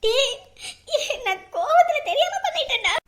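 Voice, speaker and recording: A woman acting an estranged daughter pleading loudly to see her dead mother. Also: very high voice, very animated, moderate pace, exaggerated voice. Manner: loud pleading. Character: estranged daughter, desperate and defiant.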